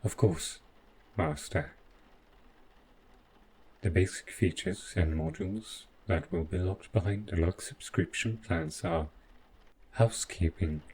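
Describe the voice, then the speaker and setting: Man voicing a slow, deep, possessive robot butler. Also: expressive, a little mellow, a little hiss or hum, heavily acted. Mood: calm, explanatory. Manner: formal, measured, stilted politeness. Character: overprotective male robot butler gone rogue, calmly possessive. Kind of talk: scripted audio roleplay addressed to the listener.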